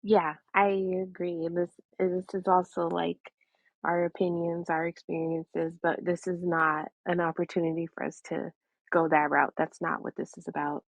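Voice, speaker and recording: Flat-toned woman co-host. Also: fairly low pitch, normal speed, vocal fry, no echo, low-quality recording. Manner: brief agreeing replies. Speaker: podcast co-host. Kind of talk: casual podcast chat.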